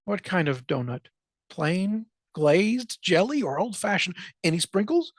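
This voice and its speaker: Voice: medium pitch, big pitch swings, measured pace, no echo, studio quality. A storyteller voicing a matter-of-fact, unhelpful female cow in a children's picture book.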